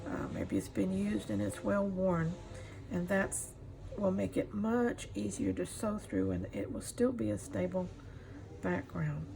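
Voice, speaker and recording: Gravelly middle-aged woman teaching crafts. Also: medium pitch, animated, measured pace. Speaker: craft tutorial host. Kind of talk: casual craft demonstration while sewing. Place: indoors at a craft table. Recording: clear background noise, room ambience.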